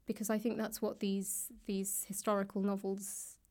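A woman with a southern British accent chatting casually as an interview guest, tentative and pausing mid-thought. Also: medium pitch, monotone, a little mellow. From London, England. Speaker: interview guest, author and actor. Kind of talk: casual chat. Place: indoors, in a library.

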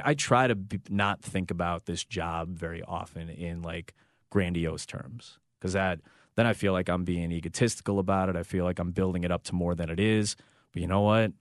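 A man's voice: deep voice, dry recording, studio quality. A man in his thirties, a sports broadcaster, telling a personal family story in a reflective, heartfelt way with frequent restarts. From United States. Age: 30s.

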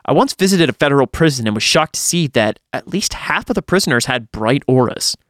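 Man, medium pitch, dramatic horror story narration, podcast reader, dry recording with little room sound. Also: expressive, fairly quick, fairly bright tone, dry recording. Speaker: podcast host reading stories aloud. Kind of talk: dramatic first-person horror story reading.

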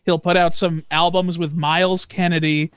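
High-voiced man, dry close sound. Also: little pitch movement, moderate pace, fairly bright tone, clear, resonant tone, dry recording. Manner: wry, joking asides. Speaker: podcast co-host. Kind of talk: casual music chat.

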